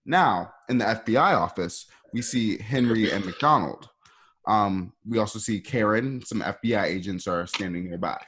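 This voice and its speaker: A man's voice: fairly low pitch, normal speed, fairly bright tone, breathy edge. A man reading scene directions as the narrator of a scripted drama.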